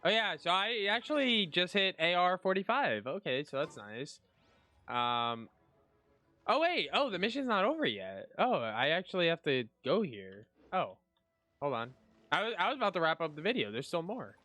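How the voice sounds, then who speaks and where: A man with a fairly high, very bright voice commentates casually on a video game. His pitch swings in a lively way as he thinks aloud, with game music underneath. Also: normal speed, no echo. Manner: informal, reacting and thinking aloud. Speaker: gaming content creator.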